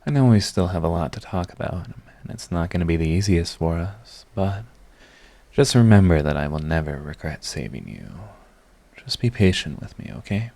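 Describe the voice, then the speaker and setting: Casting: man to act lovestruck villain for romantic audio roleplay; deep register, tender under the pride, confessional, easily flustered. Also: expressive, normal speed, gravelly, warm tone. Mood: tender, relieved.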